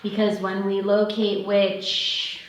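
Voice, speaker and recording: Casting: woman acting guarded young female thief, unhurried table-read delivery, echoey room. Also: mid-range voice, monotone, natural voice. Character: Chloe, cautious, exasperated young female student thief. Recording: echoey room, distant microphone.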